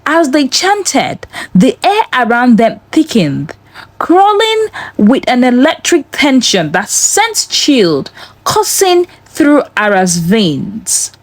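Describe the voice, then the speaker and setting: A woman narrating a scripted suspense tale in a measured, dramatic storytelling manner. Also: high-pitched, wildly swooping pitch, no echo.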